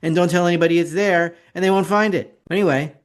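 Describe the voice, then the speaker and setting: A man's voice: high voice, fast talker. Sardonic man giving financial commentary. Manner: opinionated, sardonic, repeats phrases for emphasis. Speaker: financial commentator and show host. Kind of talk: informal slide commentary.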